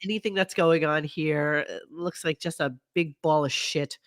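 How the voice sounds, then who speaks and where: A man's voice: on the high side, normal speed, fairly bright tone, smooth, dry recording, studio quality. Congested nasal man hosting a livestream. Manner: sarcastic, grumbling. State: congested, sick. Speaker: podcast host. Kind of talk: casual livestream commentary.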